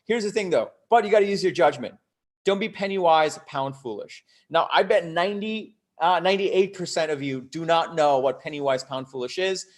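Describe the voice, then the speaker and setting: A man's voice: fairly high pitch, moderate pace. A man from Bangladesh and the US giving a live online coaching talk, conversational and emphatic, often checking in with rhetorical questions. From Bangladesh until ten, then the US. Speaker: host, startup coach.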